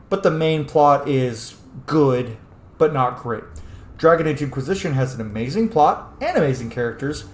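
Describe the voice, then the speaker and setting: Male game streamer, casual commentary, animated intonation, opinionated, echoey room, faint music. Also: mid-range voice, slight background noise. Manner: opinionated, profane.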